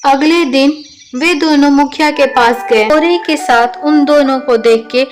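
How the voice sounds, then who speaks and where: A woman's voice: high-pitched, a little slow, over music. A woman narrating a scripted children's story as a storyteller.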